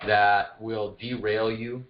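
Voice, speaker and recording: Low-voiced man coaching over muffled call audio. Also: level tone, takes it slowly. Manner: informal, encouraging, jokey. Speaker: fitness coach hosting a group detox call. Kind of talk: casual chat, coaching a group. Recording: dull, lo-fi conference call audio. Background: no music, voice on a group phone call.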